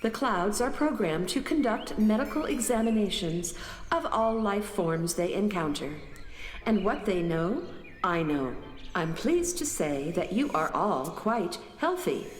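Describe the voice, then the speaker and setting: A woman playing a gentle, literal-minded starship android who explains things in measured phrases in a children's radio play. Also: medium pitch, animated, a little hiss or hum, natural voice. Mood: calm, informative, then calm, reassuring. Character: gentle, matter-of-fact female planet-powering starship android. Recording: echoey hall reverb, music behind.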